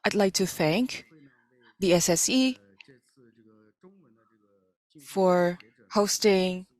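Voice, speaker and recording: A woman giving live interpretation of an academic presentation, pausing often and correcting herself mid-word. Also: on the low side, dry recording. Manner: halting, with frequent self-corrections and pauses. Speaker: simultaneous interpreter.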